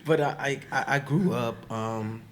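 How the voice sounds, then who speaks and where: A man from the Bronx, hoarse from losing his voice, telling stories in a casual, slangy conversation as a podcast guest. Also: medium pitch, measured pace, a little hiss or hum. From the Bronx, New York. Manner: relaxed, slangy storytelling with many fillers.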